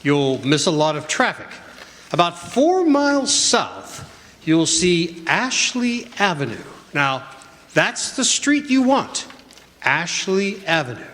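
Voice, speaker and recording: Man acting as kindly old Santa, high swooping voice, radio play, echoey hall. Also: sing-song, slow pace, fairly bright tone, heavily acted. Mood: warm, kindly. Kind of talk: stage radio drama acting. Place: college campus stage performance in a hall. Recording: echoey hall with audience noise.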